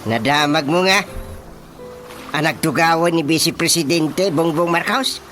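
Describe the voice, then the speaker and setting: A man with a Filipino accent playing a boastful, excitable village chairman in a radio comedy drama, blustering and exclaiming. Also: fairly high pitch, unhurried. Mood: flustered. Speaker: actor. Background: music.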